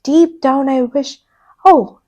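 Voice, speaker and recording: A woman's voice: sits high, takes it slowly, warm tone, highs cut off. A woman telling a story to children in a playful way. She makes vehicle sound effects and keeps asking the listener questions. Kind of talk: animated storytelling for kids.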